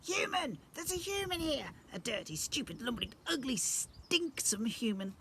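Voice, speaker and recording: A man voicing a tiny, fat, pompous male goblin prince with a squeaky voice. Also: big pitch swings, a little slow, a bit bright, slight background noise, full character voice. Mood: panicked. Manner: haughty, shrill, easily panicked.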